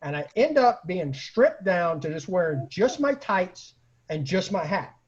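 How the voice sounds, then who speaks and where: A man tells funny personal stories in a loose, conversational style. His voice sits high and swings widely in pitch, with a rough husky grain. He acts out quoted remarks as he goes, and the homemade recording sounds a little dull. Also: measured pace. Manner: animated, acts out quoted lines, laughs at own stories. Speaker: storyteller, online show host. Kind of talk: casual comic anecdote telling.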